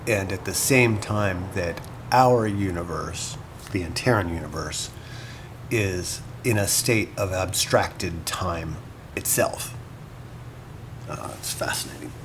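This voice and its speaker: Earnest male academic, lowish voice, unhurried halting delivery, musing lecture style, noisy recording. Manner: halting, thoughtful, scholarly. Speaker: lecturer and podcast host.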